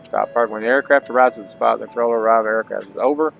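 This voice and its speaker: A man's voice: medium pitch, fairly even intonation, very fast, creaky, soft background music. A man hosting a gameplay tutorial, talking casually to viewers with folksy asides and reading out instructions. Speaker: host of a gaming channel. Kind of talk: gameplay tutorial commentary, partly reading on-screen instructions aloud. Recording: dull lo-fi mic, faint background noise.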